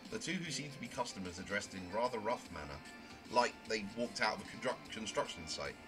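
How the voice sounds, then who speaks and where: A man plays a naive young newcomer in a visual novel, low in pitch and very animated. The delivery is wordy and a little prim, rambling along as narration and dialogue, with music clearly playing underneath. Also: a little fast, lightly acted. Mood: observant, prim. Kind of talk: amateur visual novel voice acting, first-person narration.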